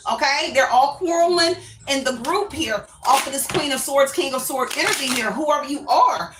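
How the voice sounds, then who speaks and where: Woman, tarot reader, rambling and unsure, high pitched, roomy echo. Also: moderate pace, background noise. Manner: rambling and unsure, often adds "I don't know"; calls the viewer "baby". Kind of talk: casual spoken tarot reading to viewers.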